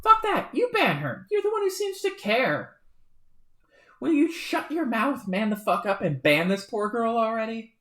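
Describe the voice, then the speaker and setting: A woman voicing a loud, angry young male troll who rants and swears. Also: mid-range voice, moderate pace, lightly acted. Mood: furious ranting. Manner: shouting, ranting, swearing. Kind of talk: fan reading of a webcomic. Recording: roomy echo.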